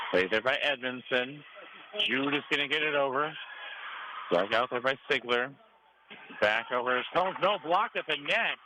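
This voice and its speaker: A man calling live volleyball play-by-play for radio in clipped short calls that rise on big plays. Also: mid-range voice. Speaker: sports play-by-play announcer. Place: school gymnasium. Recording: noisy live gym broadcast. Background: gym ambience, cheering student sections.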